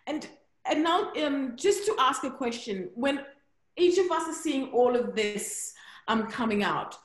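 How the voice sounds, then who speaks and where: A woman's voice: high voice, lively intonation, a little slow. Female workshop facilitator, explanatory and encouraging, recorded on a video call in an echoey room. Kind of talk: informal spoken workshop facilitation.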